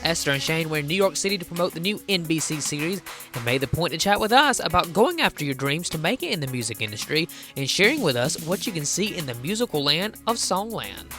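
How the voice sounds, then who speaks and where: A man's voice: a little high, big pitch swings, fast, sharp tone. A man reading a scripted promo voice-over in a polished announcer style. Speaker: narrator. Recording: voice-over with music bed.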